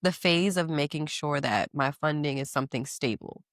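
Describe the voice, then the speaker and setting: A woman in her thirties speaking candidly as a podcast guest, animated and quick to laugh, with casual slang. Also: low-pitched, no echo, studio quality. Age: 30s. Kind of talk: casual interview chat.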